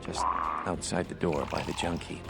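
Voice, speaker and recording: A man's voice: low voice, fairly even intonation, normal speed, gravelly, heavy background noise. A man playing an amiable, hard-drinking cantina card player in a video game, chatty and colourful. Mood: sober, recounting. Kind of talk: video game character dialogue.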